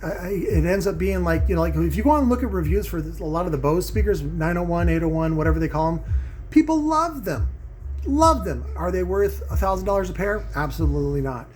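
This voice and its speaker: A man's voice: high-pitched, lively intonation, a little fast, darkish tone, a little hiss or hum. A middle-aged man hosting a casual, opinionated review vlog, rambling and emphatic, repeating phrases for stress and checking in with his listeners. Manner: often checks in with "right?" and "okay?". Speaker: host of a home audio review vlog. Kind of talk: casual opinionated monologue to viewers.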